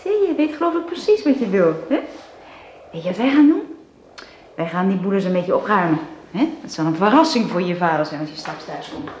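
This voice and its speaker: An actress playing a brisk, kindly city governess in a radio play, coaxing and playful, often ending on little questions. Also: female voice, medium pitch, sing-song, roomy sound, a little hiss or hum, lightly acted. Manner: coaxing, chirpy, tag questions.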